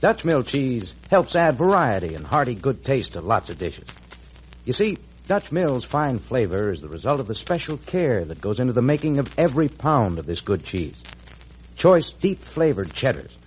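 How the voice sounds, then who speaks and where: A man's voice: medium pitch, sing-song, moderate pace, a little hiss or hum, rough recording. An American man reading scripted announcements and narration in the style of 1940s radio. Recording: old 1940s radio recording.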